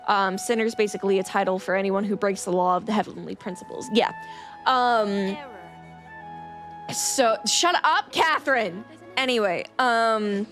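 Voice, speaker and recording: A woman's voice: medium pitch, steady tone, normal speed, faint music behind. A woman streaming a game, casually recapping its story to viewers in a rambling, easily sidetracked and playful way.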